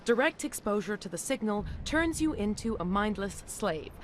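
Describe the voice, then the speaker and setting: An actress playing an anxious, articulate research scientist looking out for herself in a video game. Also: female voice, medium pitch, expressive, moderate pace, a little hiss or hum, close to an everyday voice. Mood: uneasy, explaining. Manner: nervous, pleading, articulate. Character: anxious, self-preserving female research scientist. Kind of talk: video game dialogue acting.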